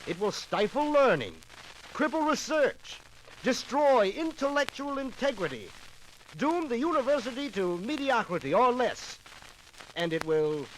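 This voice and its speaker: An impassioned orator addressing a legislature in old radio drama style. His fairly high voice rises and falls dramatically through formal, emphatic rhetoric. The 1950s recording carries light surface noise. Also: poor audio. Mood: mounting outrage. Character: impassioned male orator opposing a bill in a legislature.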